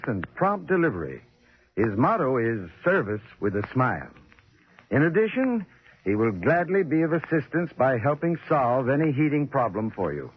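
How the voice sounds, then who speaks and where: A man delivering a scripted 1940s radio advertisement as a spokesman. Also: medium pitch. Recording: narrow, tinny 1940s radio recording.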